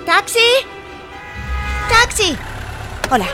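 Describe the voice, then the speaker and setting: An actress playing an excitable young songwriter in a radio drama, speaking in a breathless, urgent, exclamatory way. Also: female voice, extremely high pitch, very slow, heavily acted. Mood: hurried. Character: excitable young woman songwriter chasing a hit. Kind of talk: radio fiction acting. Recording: music behind the voice.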